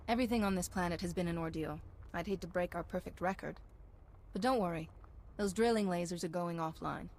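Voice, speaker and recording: An actress playing a resolute, wry heroine in a video game, speaking in a direct and assured way. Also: female voice, lowish voice, a little fast, a little mellow, lightly acted. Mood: determined, wry. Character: resolute, wry Republic heroine taking charge. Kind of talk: video game cutscene acting.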